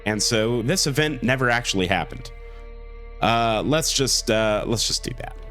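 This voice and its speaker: A man's voice: medium pitch, expressive, moderate pace, sharp tone, soft background music. A man hosting a strategy game playthrough, sick with a cold and talking in an easygoing way full of fillers. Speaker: let's play host. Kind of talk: gameplay commentary.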